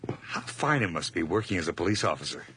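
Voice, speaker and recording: A man's voice: low-pitched, big pitch swings, fast, noisy background. An actor playing a nervous, over-polite hired man hiding guilt, stammering and effusive in an old radio horror drama. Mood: nervous, eager.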